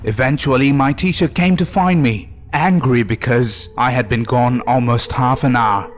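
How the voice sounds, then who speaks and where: A man's voice: mid-range voice, moderate pace. A man reading a scripted first-person horror story as narrator, with music behind him.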